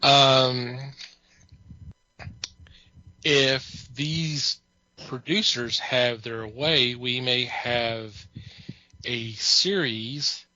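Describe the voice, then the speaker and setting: A man talking very slowly with a sharp, bright edge to his voice, wry and teasing as a podcast co-host. The sound is dry and close, with no room around it. The audio is dull and lo-fi. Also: mid-range voice, dry recording. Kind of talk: casual chat.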